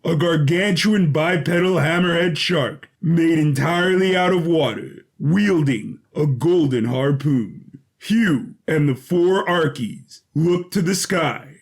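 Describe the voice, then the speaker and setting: Male narrator for an adventure drama, fairly high voice, scripted and dramatic. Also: breathy edge.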